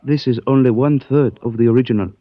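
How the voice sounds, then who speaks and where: A man's voice: mid-range voice, fairly even intonation, dry recording, close to an everyday voice. A man playing a cryptic, courtly antique dealer. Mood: cryptic, courteous. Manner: stilted formal phrasing, measured and evasive. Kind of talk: 1940s radio acting. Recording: dull dry old radio recording.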